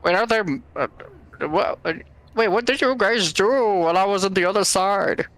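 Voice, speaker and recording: A man talking casually as a player in a tabletop game, often halting as he works out what to say. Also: high voice, a bit bright.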